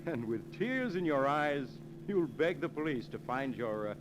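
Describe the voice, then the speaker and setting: A scheming, high-pitched radio murderer. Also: male voice, big pitch swings, a little slow. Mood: smug, calculating. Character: scheming, self-assured male murderer and secret lover. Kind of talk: 1940s radio mystery acting. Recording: noisy old radio show recording.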